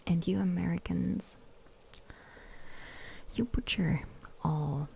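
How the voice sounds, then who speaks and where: Woman ASMR streamer, whispers close to the mic, slow and mellow, replies to chat. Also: on the low side, steady tone, creaky, a little hiss or hum. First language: German. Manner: whispering, reading out and answering chat. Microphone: sensitive ASMR microphone.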